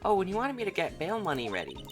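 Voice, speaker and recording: A woman voicing an earnest, excitable young girl from a video game, eager and apologetic. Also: fairly low pitch, fast talker, over music, pitched above the performer's own voice. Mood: cheerful.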